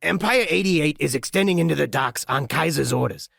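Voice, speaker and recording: A man's voice: fairly high pitch, expressive, a little fast, vocal fry, a bit bright, no room sound, clean studio sound, heavily acted. A man voicing an injured skinhead gang thug, crude and grudging, with a strained, gasping delivery in an audiobook. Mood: grudging informing. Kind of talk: audiobook character acting.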